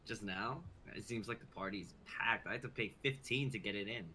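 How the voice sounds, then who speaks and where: A young man performs casual dialogue in a script reading. His voice is slightly low yet extremely bright and sharp, with clear noise behind it on the stream. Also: a little fast. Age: young adult. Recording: noticeable background noise, online stream.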